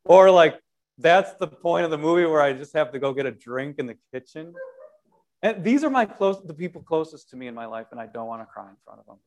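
A man preaching an Easter sermon as pastor, animated, moving between loud proclamation and quiet, self-deprecating conversational asides. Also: fairly high pitch, fairly quick. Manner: animated preaching with wide swings in loudness.

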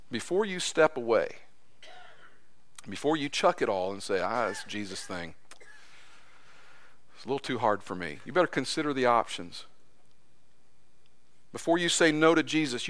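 Man, pastor, sermon, church, bright forceful tone, impassioned, swings high and low. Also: clear, resonant tone. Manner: impassioned, voice rising high when acting out quotes.

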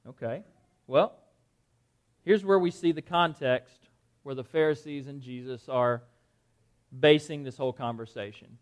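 A man's voice: mid-range voice, measured pace, pauses often. A man preaching an interactive sermon to a live audience in a dim room, talking conversationally, quizzing listeners and often repeating words. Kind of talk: interactive Bible-study sermon.

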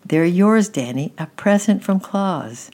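A woman's voice: fairly low pitch, animated, takes it slowly, warm tone, pitched above the performer's own voice. A woman reading aloud, voicing an eager young girl in a children's storybook with a raised, urgent delivery. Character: excited young girl comforting her brother.

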